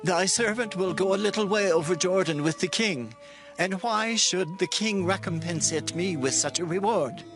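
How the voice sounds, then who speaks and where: An actor playing a humble, weary man of eighty in a dramatized scripture, speaking slowly and pleadingly. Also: sits high, close to an everyday voice. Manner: slow, drawn-out, pleading archaic speech. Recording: music behind the voice.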